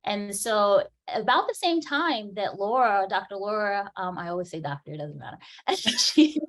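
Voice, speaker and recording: A woman in her forties, a dental hygienist, giving an earnest, animated conference talk and laughing often. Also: medium pitch, moderate pace.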